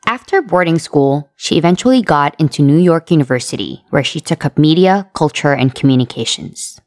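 A woman narrating a documentary feature with a measured, storytelling delivery. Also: low-pitched, animated, a little mellow. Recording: music playing behind the voice.

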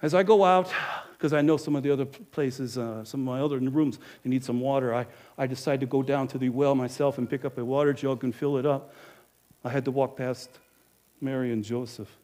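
A man playing a businesslike village innkeeper in an animated first-person monologue, emphatic and speaking straight to the audience. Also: mid-range voice, a little fast, lightly acted. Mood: guilty. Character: businesslike male village innkeeper, proud then guilty.

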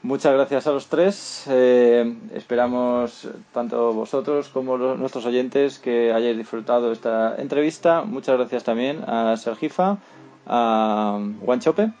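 A man's voice: medium pitch, unhurried, a little mellow. A man with a Spanish accent hosting an unscripted panel interview on a podcast. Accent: Spanish (Spain). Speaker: podcast host.

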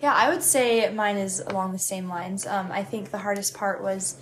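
A woman's voice: mid-range voice, steady tone, moderate pace, a little hiss or hum. A young woman from Mexico whose first language is Spanish, a guest in a casual chat who laughs often as she talks. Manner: warm, laughs often, chatty. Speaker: podcast guest. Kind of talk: casual conversation.

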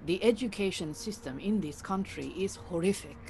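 An actress playing an exacting Iranian refugee mentor in an audio play, speaking formally and precisely with dry, bossy authority. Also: female voice, medium pitch, normal speed, gravelly, warm tone, lightly acted. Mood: dry, disapproving. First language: Farsi. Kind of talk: audio theatre acting.

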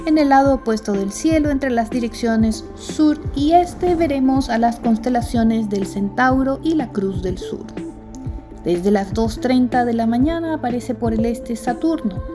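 A woman hosting a radio astronomy program, reading scripted segments in a clear, measured way and interviewing a guest. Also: fairly high pitch. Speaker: radio show host. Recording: music bed behind voice.

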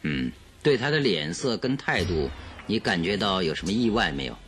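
Radio drama detective: low voice, lively intonation, shrewd, probing, authoritative. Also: male voice, normal speed, slight background noise. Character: shrewd, composed male detective questioning a witness.

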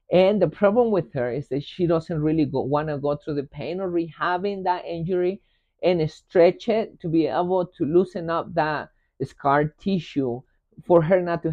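High-voiced man in an echoey room. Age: 40s. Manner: emphatic, straightforward. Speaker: health coach, host. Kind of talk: motivational talk with personal anecdotes. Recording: boxy echoey room, dull lo-fi sound.

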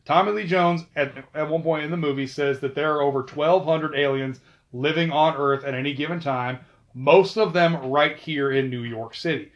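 Male host, chatty trivia commentary, a bit high-pitched, dull lo-fi recording. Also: normal speed. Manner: chatty, with asides and self-corrections. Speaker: video host. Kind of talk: casual film trivia commentary.

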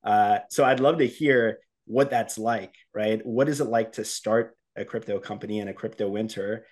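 Low-voiced reflective interviewer. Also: male voice, moderate pace, a little mellow. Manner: reflective, shares own experience before asking. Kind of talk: casual interview questions.

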